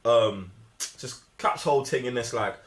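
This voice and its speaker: A man's voice: mid-range voice, a little slow. A man with a London British accent chatting casually and enthusiastically about films, full of slang and repeating himself for emphasis. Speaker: film review panellist. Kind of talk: casual film chat.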